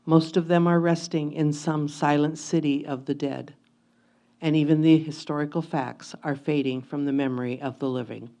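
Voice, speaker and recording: Mellow, low-pitched woman reading solemnly. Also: steady tone, moderate pace. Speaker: reader of historical letters in reader's theatre. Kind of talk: dramatic reading of a first-person historical memoir.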